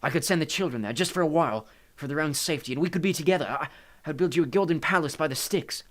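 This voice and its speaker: A man's voice: on the high side, racing, fairly bright tone, voice pushed up, natural voice. A man voicing an anxious, protective, brooding god of the underworld who pleads urgently, in a storybook. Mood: anxious pleading.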